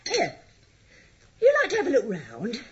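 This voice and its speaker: An actress in a radio play voicing a chatty, saucy, middle-aged English cleaning woman with a husky, flirtatious way of talking. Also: a little high, wildly swooping pitch, measured pace, muffled recording, slight background noise. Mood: saucy, playful. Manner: flirtatious, gossipy, cackling. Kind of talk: radio drama acting.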